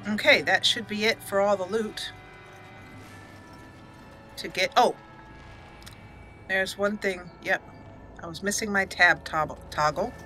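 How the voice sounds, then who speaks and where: A woman's voice: mid-range voice, measured pace, pauses often, pushed and bright. A woman hosting a gaming channel, chatting casually and thinking aloud as she plays. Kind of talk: casual let's play commentary. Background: game music.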